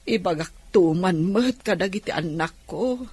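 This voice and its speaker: Woman acting a husky, slow-spoken aggrieved auntie. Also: lowish voice, expressive, darkish tone, no echo, heavily acted. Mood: indignant, worried. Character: aggrieved injured older woman, robbery victim, plaintive. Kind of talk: Ilocano radio drama acting.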